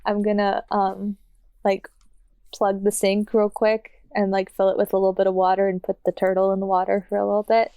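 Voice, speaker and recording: A woman's voice: medium pitch, completely flat delivery, brisk, warm tone, clean tone, dry recording. A woman chatting informally as a player in a tabletop roleplaying game, describing what a character does. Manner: informal, conversational. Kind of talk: casual improvised roleplay chat.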